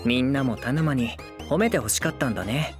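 A man's voice: mid-range voice, a little fast, music in the background, close to an everyday voice. A man as a playful, whiny young anime lord. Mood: teasing. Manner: sing-song wheedling, theatrical pouting. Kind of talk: anime voice drama comedy acting.

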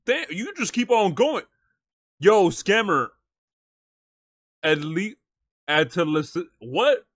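A male streamer with a fairly high voice, recorded dry and close. He speaks in a casual, slangy way, moving between reading text aloud and addressing his chat. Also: big pitch swings, slow pace, long pauses, a bit bright, no echo. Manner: slangy, says bro and chat often. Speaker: live streamer reacting to a video and talking to chat. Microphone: close streaming microphone.

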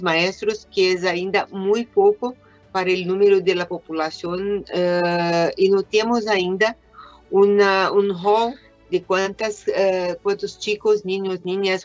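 Brazilian woman speaking Spanish haltingly. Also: fairly low pitch, dry recording. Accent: Brazilian Portuguese. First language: Portuguese. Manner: hesitant, many pauses and fillers, mixes Portuguese words. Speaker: invited speaker, educator. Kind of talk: conference presentation. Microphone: webcam on video call. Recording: dull lo-fi video call audio.

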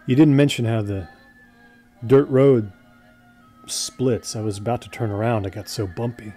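Mellow, halting, obsessed man played by an actor. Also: mid-range voice, fairly even intonation, soft background music, close to an everyday voice. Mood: uneasy. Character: obsessed, brooding man seeking supernatural truth. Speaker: actor in an audio drama. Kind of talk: naturalistic audio drama acting.

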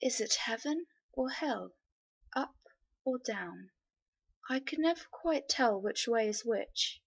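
A woman voicing an ancient, weary, trapped spirit, brooding in a hushed way. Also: on the high side, expressive, slow, creaky, husky, full character voice.

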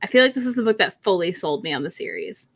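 A woman's voice: mid-range voice, fast talker, breathy edge. A woman in a casual book chat on a video call, gushing and often quoting her own reactions as she goes. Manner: animated, gushing, self-quoting. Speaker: book livestream co-host. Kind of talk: casual chat about books. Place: indoor room at home, on a video call.

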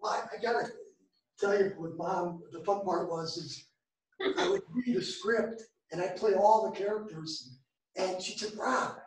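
A man's voice: high voice, a little slow. A man chatting casually to a theatre audience, telling anecdotes from a stage, recorded from a distance. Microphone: distant camera. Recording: echoey theatre stage from far off.

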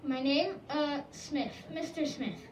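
An evasive male passerby , played by a woman brushes off questions and hurries away. The voice is high and heard from afar in an echoey room with faint noise. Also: takes it slowly, distant mic.

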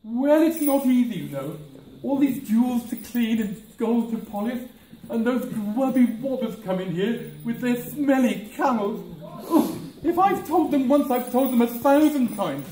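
A man's voice: very high voice, animated, unhurried, warm tone. An older man playing a grumbling, gullible cleaning woman in an amateur pantomime, with exaggerated complaining. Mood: exasperated. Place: village hall stage area, far camera. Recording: distant echoey village hall, background noise.

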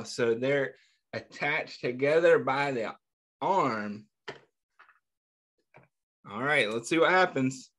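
A man reading a picture book aloud to children, pausing to ask them gentle questions between passages. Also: on the high side. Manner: slow, pausing reading with small slips. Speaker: children's storyteller. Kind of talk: read-aloud storytime.

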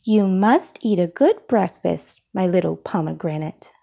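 A woman's voice: on the low side, lively intonation, measured pace, gravelly, dark tone, breathy edge, exaggerated voice. A woman voicing a doting but firm rabbit mother in a storybook, with a coaxing, motherly manner.

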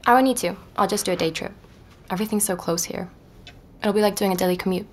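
An actress playing an inquisitive, persuasive woman podcaster in an audio drama, narrating and chatting in a measured, natural way. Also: mid-range voice, a little hiss or hum, natural voice. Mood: keen. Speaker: podcast narrator and lead character.

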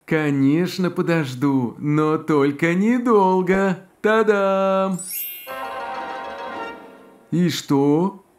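High-pitched, eager little cartoon cook. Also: male voice, extremely slow, long pauses, soft background music. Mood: determined, puzzled. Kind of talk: animated children's cartoon dialogue.

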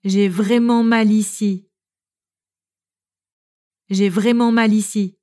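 A woman narrator reading French phrases for learners, clearly and evenly, each one repeated. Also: mid-range voice, fairly even intonation, slow, dark tone. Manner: reads each phrase three times, evenly.

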